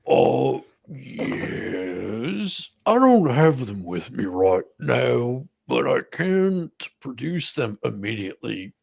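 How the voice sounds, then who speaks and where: A man playing a folksy, blustering, evasive attorney under questioning in a comic deposition reading, stammering and hedging in a raspy, gravelly voice. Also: medium pitch, sing-song, slow, few pauses, a little mellow, exaggerated voice. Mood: defensive, hedging.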